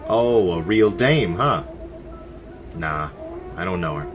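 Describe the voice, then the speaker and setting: An actor playing a gruff, world-weary New York speakeasy barkeep in a 1920s gangster radio drama, tough-talking in period slang. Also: male voice, sits low, expressive, slow. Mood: wry.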